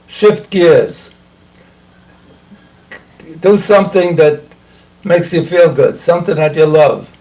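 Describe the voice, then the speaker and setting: An older man hosting a talk show, speaking slowly and haltingly, clearing his throat often and losing his voice. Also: a little high, mellow, distant mic. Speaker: host. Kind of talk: casual talk show monologue.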